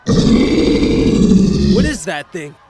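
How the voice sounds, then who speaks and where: A man playing an eager, quick-thinking but cautious teenage boy in an audio drama. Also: sits high, fairly even intonation, very noisy. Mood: alarmed.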